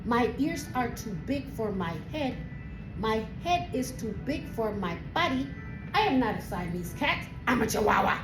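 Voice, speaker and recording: An excitable little boy kitten, as a woman reader puts him on, boasting like a storybook Chihuahua swordfighter in a mock Spanish accent. The delivery swoops up and down theatrically, with music behind in a roomy space. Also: fairly high pitch, normal speed, natural voice. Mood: dramatic. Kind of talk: animated storybook reading.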